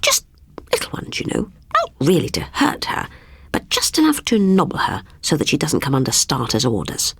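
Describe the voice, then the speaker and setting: Woman playing a breathy-husky scheming young princess. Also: low-pitched, wildly swooping pitch, moderate pace, no echo, clean studio sound, exaggerated voice. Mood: conspiratorial. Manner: conspiratorial, coaxing. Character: scheming young storybook princess, spirited and plain-spoken.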